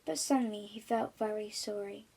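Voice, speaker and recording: A woman's voice: mid-range voice, fairly even intonation, normal speed, dark tone, slightly rough. A woman reading a children's storybook aloud, expressively, stressing key words.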